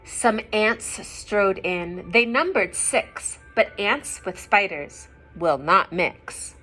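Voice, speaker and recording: Slow-paced woman reading a storybook to children. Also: medium pitch, soft background music, poor audio. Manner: expressive, simple explanations and questions for children. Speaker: teacher reading aloud to children. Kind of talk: storybook read-aloud with asides to young listeners.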